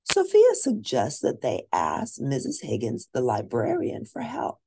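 Low-voiced woman reading a storybook, very animated. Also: creaky, breathy edge. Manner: expressive, drawn-out emphasis for young listeners. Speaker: storybook reader. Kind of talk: animated children's storybook read-aloud.

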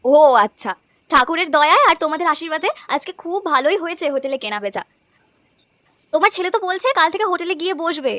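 Woman voicing a very high-pitched cheerful young village wife. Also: animated, quick pace, sharp tone, dry recording, exaggerated voice. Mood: eager, respectful. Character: hardworking cheerful young village wife running a food stall. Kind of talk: cartoon dubbing.

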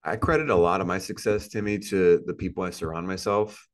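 Deep-voiced man on a video call. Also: steady tone, brisk. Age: 30s. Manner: earnest, repetitive, motivational. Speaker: podcast guest. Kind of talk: casual interview talk. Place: indoor room with a plant and a couch.

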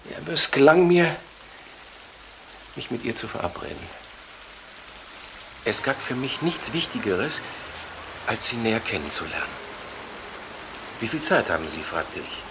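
An actor playing an earnest, infatuated lawyer who gives a halting, intense confession in a radio crime drama. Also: male voice, medium pitch, expressive. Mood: halting, smitten. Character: earnest, infatuated lawyer recounting a romance to police. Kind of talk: German radio crime drama acting, reflective narration. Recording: noisy archive radio drama recording.

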